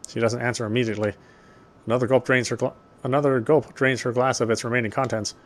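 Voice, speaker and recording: Male reader, medium pitch, measured literary narration for a visual novel, small stumbles. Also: steady tone. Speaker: let's player reading game text.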